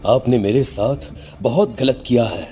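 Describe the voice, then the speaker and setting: A man's voice: mid-range voice, measured pace, mellow, slight background noise, lightly acted. Man voicing a sorrowful teenage boy's ghost. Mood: reproachful. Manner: mournful, reproachful. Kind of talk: Hindi cartoon horror story acting.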